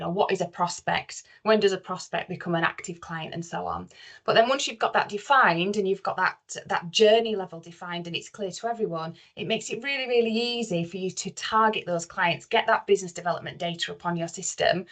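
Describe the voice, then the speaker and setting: A woman co-presenting a training webinar, explaining things in an informal, conversational way. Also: on the low side. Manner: explanatory, informal, uses rhetorical questions. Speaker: webinar co-presenter, recruitment consultant.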